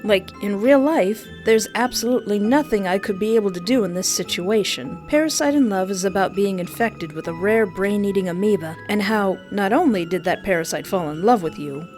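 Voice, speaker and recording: A woman's voice: mid-range voice, brisk, few pauses, a little mellow. Female countdown host, mid-range voice, emphatic dramatic storytelling over background music. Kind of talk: scripted countdown narration.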